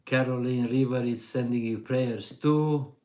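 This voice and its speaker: Middle-aged Hungarian-accented male host, slow and easygoing, live stream Q&A. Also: mid-range voice. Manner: slow, relaxed, pauses with fillers. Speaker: vanlife channel host. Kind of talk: live stream Q&A reading chat.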